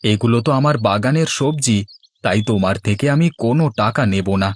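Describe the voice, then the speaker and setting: A man's voice: medium pitch, expressive, a little fast, natural voice. A man playing a good-natured, easygoing village fisherman and husband in a Bengali moral-story cartoon. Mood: easygoing, friendly.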